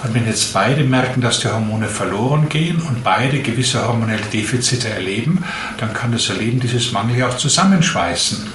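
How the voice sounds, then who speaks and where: Measured man in his fifties. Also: medium pitch, expressive, fairly bright tone, reverberant, slight background noise. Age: 50s. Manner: measured, explanatory, long flowing sentences. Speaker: doctor. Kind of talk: interview answers.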